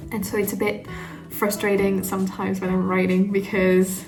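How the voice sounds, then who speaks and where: A woman's voice: medium pitch, completely flat delivery, moderate pace, music in the background. A woman whose native language is French, talking casually and reflectively to her vlog, hesitant as she searches for words. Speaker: writing vlogger.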